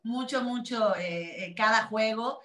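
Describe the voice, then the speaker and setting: A woman in her forties with a Mexican accent, Catalan her first language, talks in a chatty, animated way with plenty of restarts. Her voice sits a little high. The video call picks up a roomy echo around her. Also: a little slow. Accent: Mexican Spanish. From Spain. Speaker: interview guest, TV presenter. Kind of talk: casual interview chat. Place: small room, video call.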